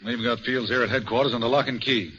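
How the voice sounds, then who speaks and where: A man's voice: medium pitch, steady tone, quick pace, lightly acted. Man acting as blunt police inspector on muffled radio. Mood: confident, triumphant. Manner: blunt, emphatic, colloquial. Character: brisk, blunt, confident male police inspector. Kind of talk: 1940s radio mystery acting. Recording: muffled vintage 1940s radio recording.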